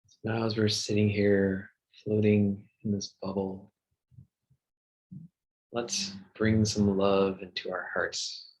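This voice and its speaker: Male meditation guide: low, very unhurried, gentle delivery with soothing pauses. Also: little pitch movement, rough recording. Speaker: meditation teacher.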